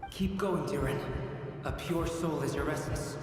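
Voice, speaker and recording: A man's voice: fairly high pitch, measured pace, close to an everyday voice. A man voicing a composed, encouraging and learned creator in a video game, speaking formally and steadily. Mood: urging. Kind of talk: dramatic video game voice acting. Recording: very echoey long reverb, faint music.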